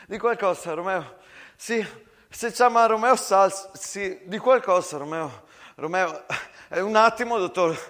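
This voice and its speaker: Man acting desperate, stammering Venetian soldier, high-voiced. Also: a little slow, fairly bright tone. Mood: panicked, desperate. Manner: stammering, repetitive, urgent pleading. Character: desperate young rustic soldier pleading with dying comrade. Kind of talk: theatrical war monologue.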